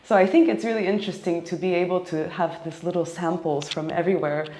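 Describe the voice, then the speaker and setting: A young woman from Japan and Hawaii, talking casually and reflectively in an interview, pausing often as she thinks. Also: fairly low pitch, steady tone, dark tone. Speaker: classical cellist being interviewed. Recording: echoey, reverberant room.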